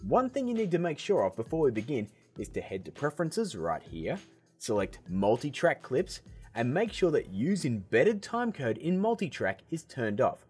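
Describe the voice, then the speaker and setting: A man hosting a software tutorial, explaining steps in a casual, upbeat way. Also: medium pitch, huge pitch swings, moderate pace, breathy edge, faint music behind. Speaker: video tutorial host.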